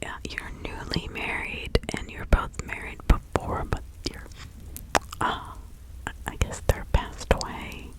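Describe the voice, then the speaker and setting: Woman playing a slow, whispering older witch. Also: lightly acted, low-quality recording. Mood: confiding, advising. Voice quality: breathy whisper. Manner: slow, halting, soothing, confiding. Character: kindly older village witch, 1650s, chatty and sympathetic. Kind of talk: ASMR roleplay.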